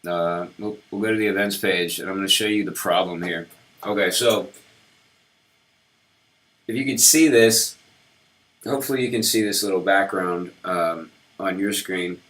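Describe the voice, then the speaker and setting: Brief: deep-voiced male live-coder, slightly hoarse from a cold, casual and halting. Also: steady tone, a bit bright, poor audio. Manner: halting, lots of ums and restarts while working. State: slightly sick, losing his voice. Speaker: web developer streaming his work. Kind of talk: casual live-coding walkthrough.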